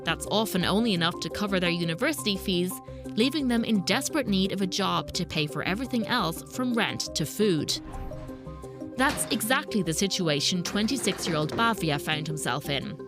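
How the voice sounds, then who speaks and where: Female news narrator, measured scripted read, music bed underneath. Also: fairly low pitch, animated. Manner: measured, explanatory. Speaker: reporter and narrator.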